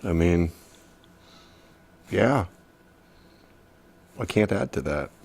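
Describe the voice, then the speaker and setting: An actor playing a hesitant, tender younger man in a secret affair, with an American accent and halting, intimate delivery in an audio drama. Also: low-pitched, expressive, vocal fry, a little mellow, a little hiss or hum, full character voice. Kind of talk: naturalistic noir audio drama dialogue.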